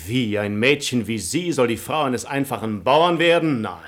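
A man's voice: medium pitch, animated, moderate pace, lightly acted. An actor playing an ardent, deceitful nobleman and seducer in a classic radio comedy, with flowery, theatrical speech. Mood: ardent, flattering. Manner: flowery, silver-tongued, theatrical. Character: smooth aristocratic male seducer, ardent, deceitful, imperious when crossed. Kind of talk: classic comedy radio play acting, declamatory.

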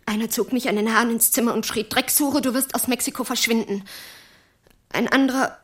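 A traumatized woman in an intimate audio drama. Her voice is fairly high and husky, with breath leaking into the words. She speaks haltingly and with bitterness, as if confiding in her one trusted friend. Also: fairly even intonation. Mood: anguished. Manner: halting, intimate, often dropping into breathy whisper. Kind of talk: German audio drama acting.

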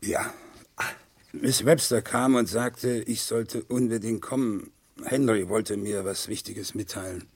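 An actor playing a distraught, haunted murder suspect in a German radio crime play, speaking in a halting, repetitive, anguished way. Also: male voice, on the low side, steady tone, darkish tone, husky. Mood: dazed, remorseful.

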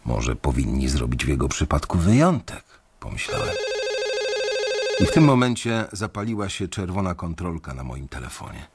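An actor narrating in first person as an anxious, sardonic bank employee facing layoff, in a measured, dry radio drama delivery. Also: male voice, low-pitched, big pitch swings, heavy background noise. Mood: somber. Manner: measured, dry, wry storytelling.

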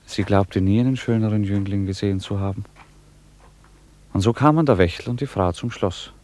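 An actor playing a grave, contemplative storyteller, narrating a classic tale in a measured, solemn way for a radio drama. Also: male voice, fairly low pitch, darkish tone, lightly acted. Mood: reflective.

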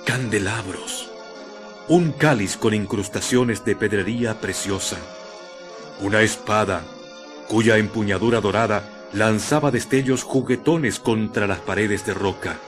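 A man narrating a scripted radio drama in a measured, literary storytelling manner. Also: fairly low pitch. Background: music.

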